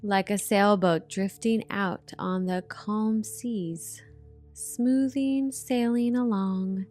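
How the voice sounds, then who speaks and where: A woman narrating a guided meditation in a soothing, gentle manner, with drawn-out hypnotic phrasing. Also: mid-range voice. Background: relaxation music.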